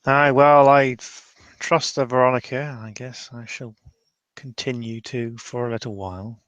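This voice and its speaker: A man's voice: medium pitch, fairly even intonation, measured pace, a bit bright, clean tone, muffled recording, close to an everyday voice. A world-weary male mage played by a man in a tabletop fantasy game. He is wry and reluctant, grumbling and rambling in a mid-range voice. The sound is dry, with no room echo. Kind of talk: improvised tabletop roleplay, in character.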